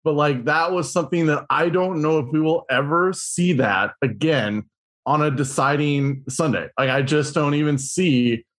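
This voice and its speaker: A man co-hosting a sports podcast, talking in an excitable, rambling way. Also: on the high side, normal speed. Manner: excitable, rambling, lots of fillers and restarts. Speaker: podcast co-host. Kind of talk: casual sports podcast chat.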